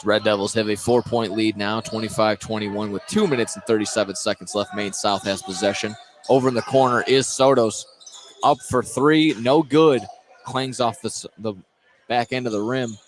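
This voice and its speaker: A man's voice: fairly low pitch, steady tone, moderate pace. An American man doing live radio play-by-play of a basketball game, sometimes stumbling over names and restarting phrases. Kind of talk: live radio sports commentary. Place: courtside in a high school basketball gym. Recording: clear gym noise behind the voice. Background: gym ambience, basketball bounces.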